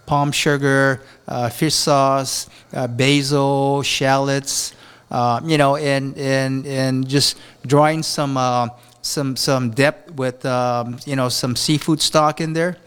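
A man from Japan, a chef and restaurant owner, talks in a rambling, halting way with many restarts during an interview at a beach hotel. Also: mid-range voice, a bit bright, smooth. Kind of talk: casual interview talk.

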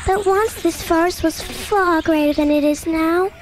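Tiny possum, high thin voice, quiet, sad, pleading, storybook. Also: female voice, a little slow, over music.

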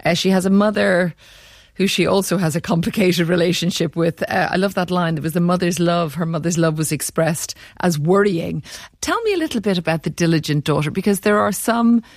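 A woman's voice: lowish voice, brisk, dry recording, clean studio sound. A woman interviewing an author on radio, asking searching questions with some fillers.

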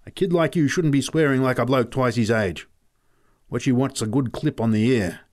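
Man acting a gruff, irritable farmer. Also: medium pitch, animated, moderate pace, voice pushed up, lightly acted. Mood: angry, scolding. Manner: urgent, snapping, blustering. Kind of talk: acted storybook dialogue.

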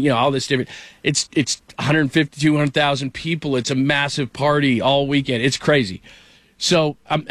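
A middle-aged man hosting a radio show, telling a story in a rambling, animated way with lots of restarts. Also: a little high, no echo. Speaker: radio host. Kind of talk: casual talk radio chat.

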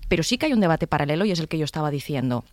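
A woman's voice: low-pitched, expressive, no echo, studio quality. A woman, arguing a political point as a commentator on a radio panel. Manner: fast, forceful argumentation. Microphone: studio microphone.

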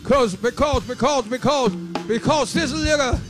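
Male preacher, seventies. Very high pitch, slow rhythmic declamation, impassioned and repetitive. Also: over music. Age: 70s. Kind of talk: impassioned sermon.

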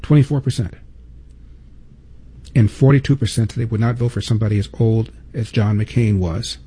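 Deep-toned emphatic male talk host. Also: steady tone, moderate pace, dark tone, slightly rough. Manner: emphatic, repeats for stress, rhetorical questions. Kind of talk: opinion monologue.

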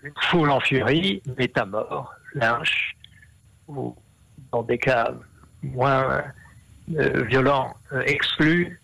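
A man's voice: medium pitch, slow pace, creaky, bright, forceful tone, no echo, rough recording. A man explaining ideas at length in an interview, with many fillers and restarts. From half Brazilian. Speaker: interview guest, scholar.

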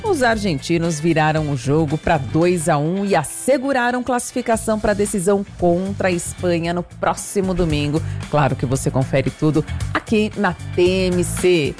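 A woman's voice: lowish voice, normal speed, hardly any pauses, a little mellow, faint music behind. A woman radio news anchor with a slightly nasal, congested voice, reading morning headlines and weather with brief chatty asides.

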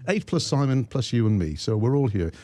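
Lively, chatty radio host man. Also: mid-range voice, brisk. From Wales. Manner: enthusiastic, chatty, leading questions. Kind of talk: radio interview.

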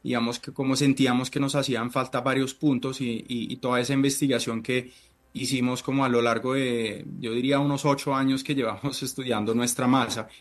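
A middle-aged chef from Italy, Italian by birth, answers questions slowly and haltingly. He keeps pausing on fillers and restarting his sentences as he explains his cooking. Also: medium pitch, flat delivery. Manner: hesitant, many fillers and restarts. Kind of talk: interview answers.

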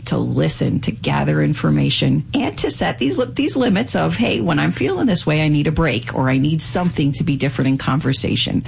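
A co-host on a call-in radio show who counsels a caller in a warm, emphatic way. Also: medium pitch, creaky, breathy edge. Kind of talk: call-in advice talk.

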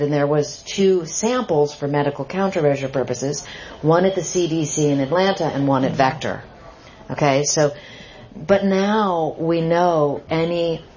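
A woman author fields questions at a live book talk. Her voice sits low, and she explains each point emphatically, often restarting her sentences. The recording is dull and lo-fi, with a faint hiss underneath. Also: a little mellow. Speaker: author answering audience questions.

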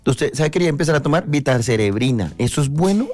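A man's voice: a little high, lively intonation, quick pace, a little mellow, no echo. A man with a Colombian accent joking around as a radio panelist in casual, teasing banter.

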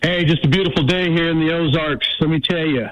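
A man in his sixties calling into a radio show, opinionated and halting, with many restarts. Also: fairly high pitch, a bit bright. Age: 60s. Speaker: caller. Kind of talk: call-in radio. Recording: dull dry phone-line call.

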